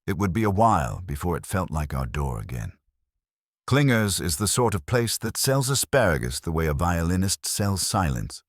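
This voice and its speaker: A man narrates a first-person detective audiobook. His voice is extremely deep, with lively movement in pitch. The delivery is wry and deadpan, in a dry, close recording. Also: dry recording.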